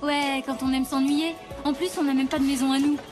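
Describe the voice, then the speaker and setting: Woman doing a high, sulky young girl. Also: level tone, fairly quick, over loud music, lightly acted. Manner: grumpy, sarcastic. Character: sulky young girl who hates the countryside. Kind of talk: animated children's cartoon acting.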